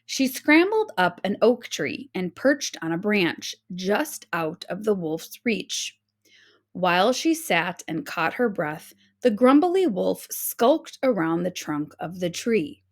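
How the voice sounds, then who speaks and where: Animated, slow-paced woman reading a storybook. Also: medium pitch. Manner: dramatic, expressive. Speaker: storybook reader. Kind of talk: expressive scripted narration of a children's story.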